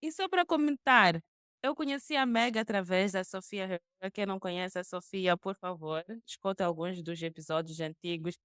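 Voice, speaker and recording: Woman, podcast host, casual interview talk, animated storytelling, medium pitch, dry close sound. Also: moderate pace, smooth, dry recording.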